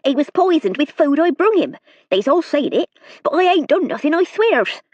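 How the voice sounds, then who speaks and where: A woman voicing a nervous, toothless serving woman who lisps and speaks in rough rustic dialect. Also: high-pitched, sing-song, normal speed, no room sound, muffled recording, pitched above the performer's own voice. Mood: anxious pleading. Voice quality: lisping through missing teeth. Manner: rustic dialect, anxious and pleading.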